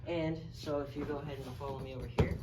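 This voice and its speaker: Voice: medium pitch, fairly even intonation, fast. A person giving a casual, step-by-step, hands-on tutorial as an instructor. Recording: noisy amateur video recording, background noise.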